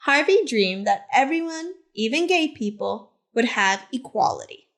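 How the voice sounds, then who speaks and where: Slow, higher-pitched woman reading aloud. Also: expressive. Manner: simple, deliberate phrasing addressed to young listeners. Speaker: teacher librarian reading aloud to children. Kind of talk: picture-book read-aloud with short asides.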